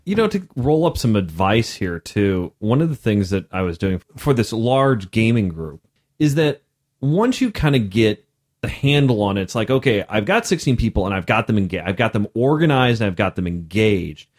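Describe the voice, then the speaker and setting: Loud, lively-voiced man. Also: fairly low pitch, moderate pace. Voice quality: loud voice that projects. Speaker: podcast co-host and game master. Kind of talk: casual podcast chat.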